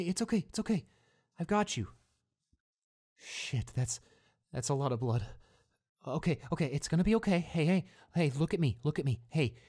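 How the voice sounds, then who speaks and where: A man's voice: fairly high pitch, a little mellow, lightly acted. A man acting a tender, anxious young boyfriend. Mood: panicked. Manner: tender, halting, repeating himself in reassurance. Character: devoted, anxious young boyfriend comforting his hurt girlfriend. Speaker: voice actor. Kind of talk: intimate boyfriend audio roleplay.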